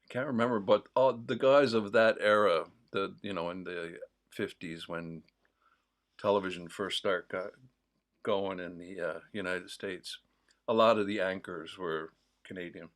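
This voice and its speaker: A man with a mild Newfoundland accent, hosting a livestream in a casual, rambling, digressive chat full of pauses. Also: sits low, fairly even intonation. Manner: digressive, with many pauses and fillers. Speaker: host of a livestream.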